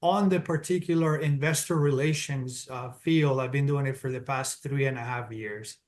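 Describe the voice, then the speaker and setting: A middle-aged man from Colombia with a Colombian Spanish accent, a guest in an interview, explaining things in a steady, businesslike way and laying out his points in order. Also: medium pitch, moderate pace. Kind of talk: interview answers, explaining step by step.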